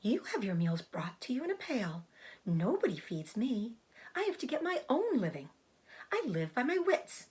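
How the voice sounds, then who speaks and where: A genteel female spider from a storybook, acted out by a woman, speaks in a thin, breathy voice that lifts high and swoops. She is self-assured and clever, explaining her trapper's ways with proud, matter-of-fact calm. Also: moderate pace, exaggerated voice. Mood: matter-of-fact, defensive.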